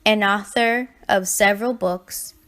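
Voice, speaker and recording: A woman reading a scripted documentary narration in a measured, read-aloud way. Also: mid-range voice, fairly even intonation, a bit bright.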